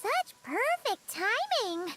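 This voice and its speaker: A tiny, childlike fairy companion from a video game. The voice is extremely high and lively, bouncing around with excitable energy. Loud music plays behind it. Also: female voice, takes it slowly, heavily acted. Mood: surprised. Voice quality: squeaky, childlike.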